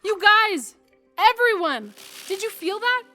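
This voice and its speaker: Woman playing a very high, determined young ladybug. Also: very animated, takes it slowly, sharp tone, soft background music, exaggerated voice. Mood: desperate. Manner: earnest, pleading, emphatic. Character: determined young female ladybug, earnest, hopeful leader. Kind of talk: audio drama cartoon acting.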